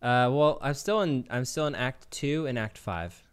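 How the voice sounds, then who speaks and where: A man's voice: medium pitch, expressive, normal speed, clear, resonant tone. Casual man hosting a gaming podcast. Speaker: podcast host.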